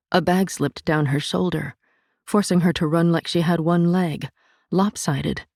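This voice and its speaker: A woman narrating a literary novel in a measured, vivid storytelling manner on a studio mic. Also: deep voice, darkish tone, dry recording. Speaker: audiobook narrator.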